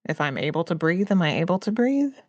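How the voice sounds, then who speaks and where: A woman's voice: fairly low pitch, very animated, fast, darkish tone, dry recording, lo-fi sound. A woman chatting casually as a player in a tabletop role-playing game, speaking informally for her character. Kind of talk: casual improvised game chat.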